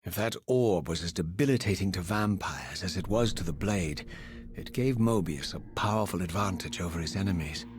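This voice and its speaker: Man voicing a low, brooding undead wraith narrator. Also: moderate pace, natural voice. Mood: pondering. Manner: solemn, formal, eloquent. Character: brooding undead male wraith, former vampire and priest, vengeful. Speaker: narrator and protagonist. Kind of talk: theatrical first-person scripted narration. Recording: loud music behind the voice. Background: loud game music.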